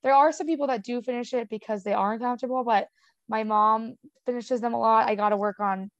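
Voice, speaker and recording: A young woman speaks casually in an interview, her stutter clear in repeated sounds and long blocks, with many fillers along the way. Her voice sits a little high. The sound is dry and slightly muffled, like a remote call recording. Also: fairly even intonation, brisk, dry recording. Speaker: interview guest.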